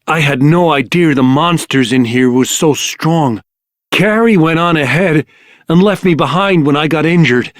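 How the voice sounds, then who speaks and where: A man's voice: on the high side, moderate pace, no room sound, studio quality, close to an everyday voice. A man playing an injured, worried companion in a video game, earnest and strained. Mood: worried, pained. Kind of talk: video game dialogue acting.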